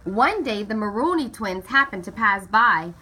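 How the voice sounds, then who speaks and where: Bright, animated woman reading a storybook. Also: medium pitch, normal speed, slightly rough, rough recording. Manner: animated, dramatically stretching key words. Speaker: storybook reader. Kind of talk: expressive children's picture book read-aloud.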